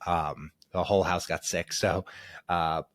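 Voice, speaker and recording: A man's voice: low voice, steady tone, unhurried, fairly bright tone, dry recording. A man hosting a solo podcast, a little nasal and congested from a cold, talking casually and rambling with many ums and restarts. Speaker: podcast host.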